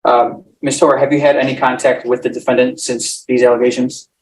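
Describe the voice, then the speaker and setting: A man's voice: medium pitch, flat delivery, brisk, a little mellow, low-quality recording. A man questioning a witness in court as prosecutor, hesitant with many fillers.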